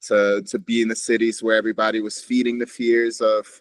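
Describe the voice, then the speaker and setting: A man's voice: lowish voice, flat delivery, a little fast. A man from Switzerland, a podcast guest reflecting in a meandering, casual way with frequent restarts. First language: Swiss German. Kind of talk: casual conversation.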